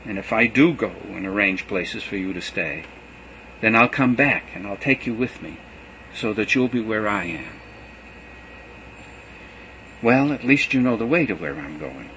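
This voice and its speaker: A man voicing Jesus as a gentle teacher saying farewell to his students, earnest and measured. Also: fairly low pitch, animated, slight background noise, exaggerated voice, rough recording. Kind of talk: reading a gospel aloud.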